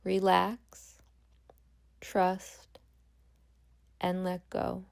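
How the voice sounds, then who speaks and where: A woman guiding a meditation as a yoga nidra instructor, speaking calmly and gently with long pauses. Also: on the low side, vocal fry. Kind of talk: guided meditation.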